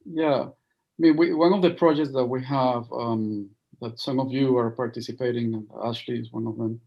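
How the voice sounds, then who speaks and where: A man from Venezuela with a strong Venezuelan Spanish accent, speaking as a guest on a livestream interview over a video call, halting and full of fillers and restarts. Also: medium pitch, steady tone, gravelly, muffled recording. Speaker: guest, NGO project leader.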